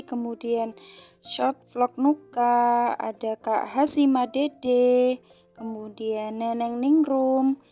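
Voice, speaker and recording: A woman's voice: fairly high pitch, warm tone. Chatty Javanese-accented woman vlogger. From Central Java. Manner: chatty, many fillers, often says "friends". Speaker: housewife vlogger. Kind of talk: casual vlog narration while doing chores. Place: wooden house in a village.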